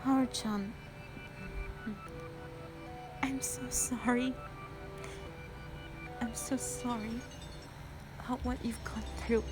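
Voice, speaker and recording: A woman voicing a gentle, protective young woman in an audio roleplay drama, speaking in an earnest, consoling way. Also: fairly high pitch, close to an everyday voice. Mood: sorrowful, consoling. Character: gentle, protective young woman comforting her hurting friend.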